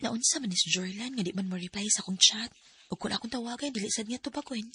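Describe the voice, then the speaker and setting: A woman's voice: medium pitch, fast talker, over music, close to an everyday voice. A woman acting in a Bisaya radio drama as a patient, wistful young live-in partner, with a Filipino Bisaya accent. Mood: uneasy.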